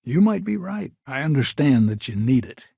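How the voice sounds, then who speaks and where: An actor playing a stern, proud old grandfather of a frontier family in a video game, gruff and curt, with a gravelly, husky voice and folksy turns of phrase. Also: mid-range voice, very animated, normal speed, mellow, no echo, clean studio sound, exaggerated voice. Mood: weary, stubborn. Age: older. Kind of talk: dramatic video game dialogue acting.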